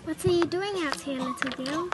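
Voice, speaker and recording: A woman's voice: high voice, expressive, normal speed. A woman voicing a storybook wolf who coaxes in a crackly, falsely sweet imitation of an old granny. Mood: falsely friendly. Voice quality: crackly, croaky. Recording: noisy recording with clicks.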